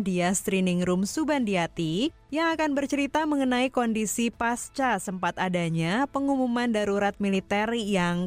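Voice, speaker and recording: A woman's voice: fairly high pitch, no echo. A woman presenting and interviewing as a broadcast host.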